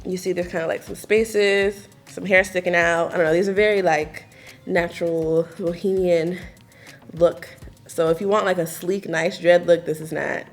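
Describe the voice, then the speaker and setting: Gravelly, low-pitched young woman chatting casually. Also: moderate pace. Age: 20s. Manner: relaxed, chatty, lots of filler likes and ums. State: slightly raspy morning voice. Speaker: hair tutorial vlogger. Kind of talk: casual step-by-step tutorial.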